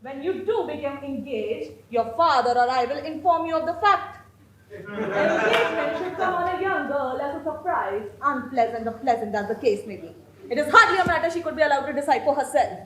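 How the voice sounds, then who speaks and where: A woman's voice: high voice, expressive, fairly quick, a crowd in the background. A woman playing a stern, haughty middle-aged aristocratic mother in a stage comedy, formal and commanding. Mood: imperious. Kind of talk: theatrical stage comedy of manners. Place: indoor stage performance. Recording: roomy, clearly noisy stage recording.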